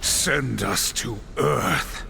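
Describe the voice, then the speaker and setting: Grim, extremely gravelly warrior. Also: male voice, mid-range voice, very slow, slight background noise, heavily acted. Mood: grim anger. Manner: stern, solemn, threatening. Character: grim, vengeful male supernatural horseman warrior. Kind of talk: video game cutscene acting. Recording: loud music under the voice.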